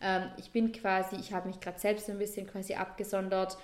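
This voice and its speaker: Young Austrian woman, podcast co-host, chatty with fillers, mid pitch. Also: little pitch movement, brisk. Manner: chatty, many fillers and restarts. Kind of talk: casual chat.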